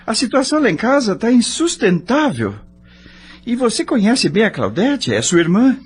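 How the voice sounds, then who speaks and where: A hugely expressive Brazilian husband played by a male actor in an audio soap opera. He is a beleaguered, defensive bank employee who protests theatrically, with faint noise behind him. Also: extremely high pitch, normal speed. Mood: embarrassed, downcast.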